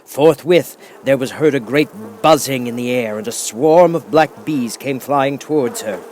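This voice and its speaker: A man reading a children's audiobook as narrator, with expressive, dramatic storytelling. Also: mid-range voice, measured pace, a little hiss or hum, low-quality recording.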